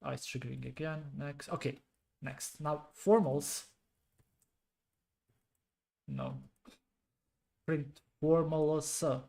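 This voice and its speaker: Man, slow halting speech, mellow low-key tone, muttering to himself, debugging at a desk mic. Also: steady tone, creaky. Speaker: programming streamer. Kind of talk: thinking aloud while debugging code.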